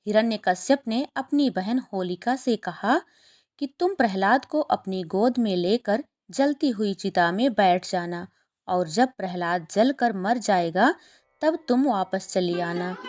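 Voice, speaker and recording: A woman's voice: mid-range voice, a little mellow. A woman narrating a scripted children's story as the storyteller.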